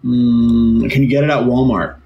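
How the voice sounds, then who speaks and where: A man's voice: medium pitch, flat delivery, moderate pace, vocal fry, lo-fi sound, faint music behind. A young man hosting a casual live chat game, teasing and playful and loose with his swearing, speaking into a phone camera in a bedroom. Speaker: livestream host. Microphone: phone camera on a live stream.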